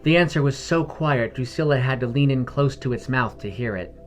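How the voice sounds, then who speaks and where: A man narrates a scripted fantasy tale as storyteller. His voice sits at a medium pitch, with music behind it. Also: fairly quick.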